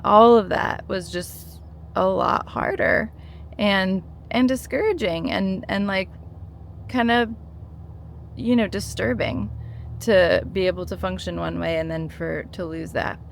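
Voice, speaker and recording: A woman's voice: medium pitch, a little slow, vocal fry, slight background noise. A woman in her thirties talking casually to camera, earnest and encouraging, with many fillers and restarts. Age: 30s. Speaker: vlogger sharing her withdrawal experience.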